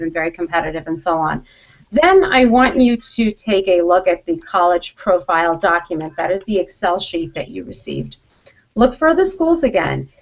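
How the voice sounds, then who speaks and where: A woman giving an instructional presentation to students, explaining things in an emphatic, directive way. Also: fairly low pitch. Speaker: college program vice president, presenter. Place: conference call. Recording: dull conference call audio.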